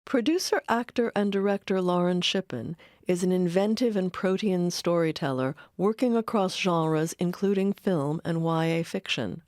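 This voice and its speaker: Low-pitched woman narrating a documentary. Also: a little mellow, no room sound, studio quality. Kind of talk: scripted narration.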